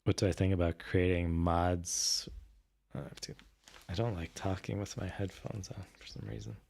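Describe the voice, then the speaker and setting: Deep-voiced, slightly raspy male streamer. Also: fairly even intonation, moderate pace, a little mellow. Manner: hesitant, thinking aloud. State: voice tired after recent illness. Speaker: streamer host. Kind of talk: casual livestream chat.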